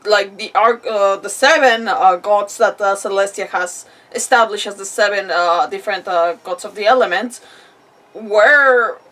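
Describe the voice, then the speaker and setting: A woman livestreamer giving casual gameplay commentary, thinking aloud in a halting, giggly way. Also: medium pitch, a bit bright, recorded from a distance. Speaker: game livestreamer.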